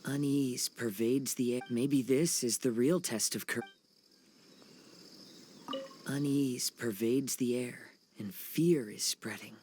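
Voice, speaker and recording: A man voicing a poetic, observant wanderer in a video game, musing quietly in literary phrases. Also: mid-range voice, slow, slight background noise, lightly acted. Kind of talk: video game cutscene acting.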